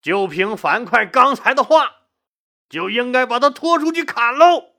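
Casting: narrator doing a combative male official of ancient China, forceful, scornful, rhetorical delivery. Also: mid-range voice, expressive, unhurried. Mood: outraged.

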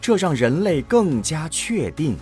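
A man's voice: on the high side, very animated, a little slow, a little mellow, faint music behind. A man delivering scripted narration for an audio drama as its storyteller.

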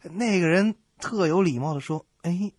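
A man hosting a comedy radio show, telling a scripted joke in an animated way and acting out the dialogue. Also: high voice, moderate pace, slightly rough, no echo, clean studio sound. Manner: animated, acts out quoted dialogue. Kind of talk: scripted comic storytelling, joke banter.

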